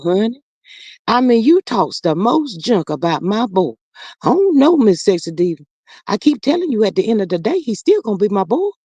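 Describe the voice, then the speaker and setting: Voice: medium pitch, dry recording. A performer voicing an older mother who stammers with fillers and calls everyone honey and child while chatting over viewer comments on a livestream. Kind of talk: improvised comedic character livestream.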